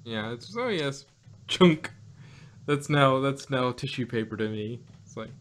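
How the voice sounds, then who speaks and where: Casual male podcast co-host, medium pitch, loose and giggly, thinking out loud. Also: animated, unhurried. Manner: rambling, laughs often, restarts and hedges mid-thought. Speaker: podcast co-host reviewing an audio drama. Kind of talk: casual review chat.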